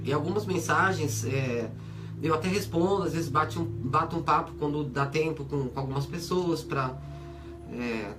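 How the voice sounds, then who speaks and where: A hoarse man with a Brazilian Portuguese accent and a stuffy nose from a cold, hosting a spiritual advice show in a chatty, earnest way with many fillers, with music behind him. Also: on the high side. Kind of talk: casual spoken talk to viewers and listeners. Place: home studio or room for a web radio and video show.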